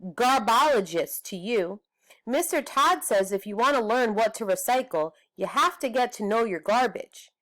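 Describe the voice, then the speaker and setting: An indignant young girl from a storybook, as a woman reader puts her on. She speaks high and preachy, with an exasperated, bossy edge. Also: lively intonation, normal speed, a bit bright. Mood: annoyed.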